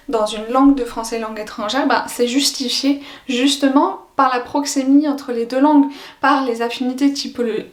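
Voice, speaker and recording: A young woman language teacher explaining her views to camera, thoughtful, pausing often on fillers. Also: on the high side, roomy sound. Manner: thoughtful, hesitant with frequent fillers. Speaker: French as a foreign language teacher.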